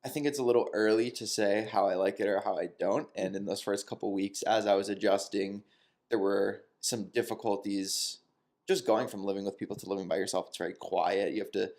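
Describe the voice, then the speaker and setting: A man's voice: lowish voice, a little fast, creaky. A man in his twenties chatting casually and thoughtfully on a podcast about moving to a new city. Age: 20s. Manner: relaxed, reflective, with some restarts and laughs. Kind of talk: casual chat.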